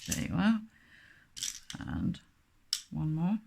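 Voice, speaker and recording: A middle-aged woman with a Danish accent, a native Danish speaker, talking slowly and hesitantly through a step-by-step craft tutorial with many fillers. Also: sits low, wildly swooping pitch, creaky, warm tone, a little hiss or hum.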